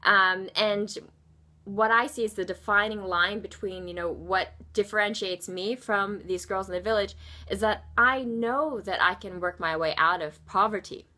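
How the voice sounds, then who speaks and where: A woman's voice: medium pitch, normal speed, bright, forceful tone. A young woman talking earnestly and passionately as a nonprofit founder, with frequent ums. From born in Indonesia, raised in Baltimore, USA. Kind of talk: unscripted video commentary.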